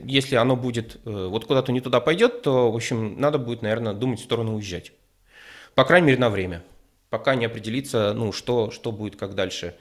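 A man's voice: mid-range voice, fairly bright tone. Hesitant man. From Ukraine. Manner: hesitant, with many fillers and restarts, careful wording. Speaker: guest. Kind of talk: casual conversational storytelling.

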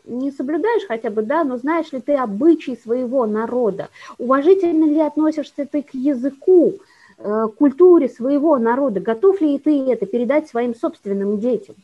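A woman with a somewhat high voice explains things at length and in a measured way, as a guest educator talking about teaching speech sounds. The recording is dull and lo-fi. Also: expressive. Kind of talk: explanatory conversation.

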